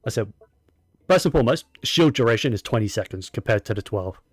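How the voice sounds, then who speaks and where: A man's voice: on the low side, lively intonation, fairly quick, no echo. A man giving casual gameplay commentary, rambling and opinionated, often talking to himself as he plays. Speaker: gaming streamer.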